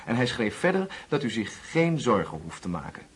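A man's voice: medium pitch, very animated, moderate pace, slightly rough. An actor playing a nervous, apologetic young man who brings bad news in a Dutch radio play, hesitant and earnest. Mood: earnest, careful.

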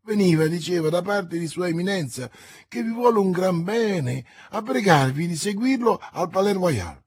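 A high, breathless young manservant voiced by a man, fretful and eager to please as he blurts out his news. His voice is husky and comes across dry and close. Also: animated, normal speed, a little mellow, no echo, full character voice. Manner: breathless, exclamatory.

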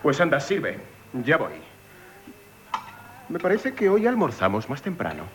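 Blustering, high-pitched haughty gentleman. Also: wildly swooping pitch, a little slow, exaggerated voice. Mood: scornful. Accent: Castilian Spanish. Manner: blustering, exclamatory, theatrical. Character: irascible woman-hating gentleman, haughty and gruff. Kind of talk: 1970s Spanish radio theatre acting. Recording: faintly noisy 1970s radio drama recording.

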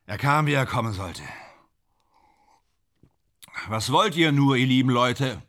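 A man's voice: medium pitch, lively intonation, takes it slowly, fairly bright tone. A man in a radio play acting a vain, tormented stage actor, bitter and self-dramatising, with a theatrical, declamatory manner that swings between muttering and outbursts. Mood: bitter pride.

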